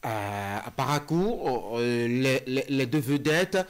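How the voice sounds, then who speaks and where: A man's voice: mid-range voice, expressive, takes it slowly, smooth. A man with a West African French accent interviewing on politics, building his questions step by step with some hesitation.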